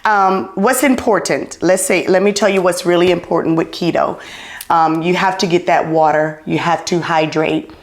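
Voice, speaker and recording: Excited, loud middle-aged woman in an echoey room. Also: fairly low pitch, normal speed. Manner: enthusiastic, repeats herself, calls herself loud. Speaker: podcast host. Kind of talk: casual podcast chat. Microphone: not wearing her clip-on mic. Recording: roomy echo.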